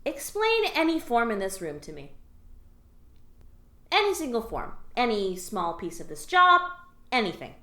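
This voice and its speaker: A woman's voice: fairly high pitch, huge pitch swings, measured pace, pauses often, sharp tone, exaggerated voice. A woman playing a sarcastic, weary alien office worker who scolds and trains her lazy new coworker in a comedic audio drama. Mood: exasperated. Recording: roomy echo.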